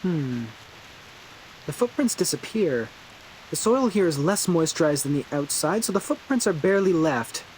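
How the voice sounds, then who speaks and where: A woman voicing a quirky, analytical young investigator in a video game, theatrical and excitable. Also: low voice, moderate pace, a little hiss or hum, low-quality recording.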